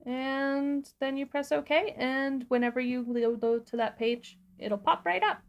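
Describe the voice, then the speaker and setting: A woman co-hosting a livestream, giving a casual step-by-step software walkthrough. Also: high voice, fairly even intonation, unhurried, dull audio. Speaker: livestream co-host and tutorial presenter; sound designer.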